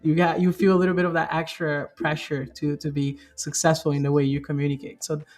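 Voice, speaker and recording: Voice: medium pitch, level tone, a little fast, darkish tone, faint music behind. An interviewer speaking casually, rambling a little with many repeated likes and restarts.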